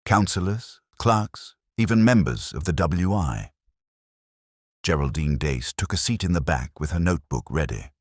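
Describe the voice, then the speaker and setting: A man reads a mystery story with a measured, deliberate delivery. His voice is extremely deep, yet it moves with lively intonation. The sound is close and dry, with no room around it. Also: dry recording. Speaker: audiobook narrator. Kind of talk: scripted mystery story narration.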